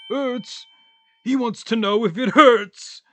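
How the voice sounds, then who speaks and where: A man acts out a gloomy, long-suffering male vagrant in a radio play. The voice is pitched up and querulous, with curt, irritable complaints. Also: takes it slowly, fairly bright tone, a little hiss or hum, heavily acted. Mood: pained, angry. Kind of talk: theatrical radio play acting.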